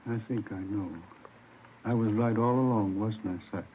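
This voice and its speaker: A man's voice: lowish voice, fairly even intonation, moderate pace, lightly acted. An actor playing a heartbroken, weary old grandfather in a 1950s radio drama. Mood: wounded, weary. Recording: tinny, noisy old radio recording.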